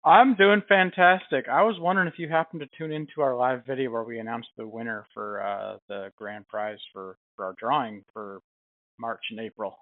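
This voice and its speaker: A man's voice: medium pitch, fairly even intonation, moderate pace. A man in a casual business phone chat, speaking as a company representative in a friendly, informal, explanatory way with frequent chuckles. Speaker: company representative calling a prize winner. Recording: band-limited phone-like sound, roomy echo.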